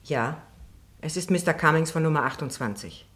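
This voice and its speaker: A woman's voice: low voice, normal speed, a bit bright. An actress playing a plain woman in her thirties testifying in a terse, careful, matter-of-fact way in a German radio drama. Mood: guarded, precise. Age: 30s. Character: plain, precise 39-year-old single woman testifying.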